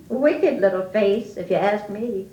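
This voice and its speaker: An older woman playing a gruff, skeptical farm granny with an American accent, dry and grudging, in an old radio drama. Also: medium pitch, dark tone, highs cut off. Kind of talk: 1940s radio-drama acting. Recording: echoey, slightly distant, noisy old record.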